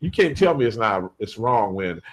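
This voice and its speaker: A man on a talk radio panel, speaking animated and emphatic, often laughing as he talks. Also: fairly low pitch, moderate pace, breathy edge. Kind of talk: casual studio talk.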